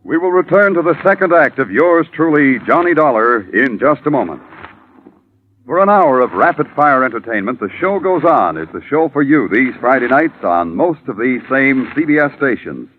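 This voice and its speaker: A man's voice: medium pitch, phone-quality sound. An American man announcing in a formal, scripted 1950s radio style. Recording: thin, noisy old radio recording.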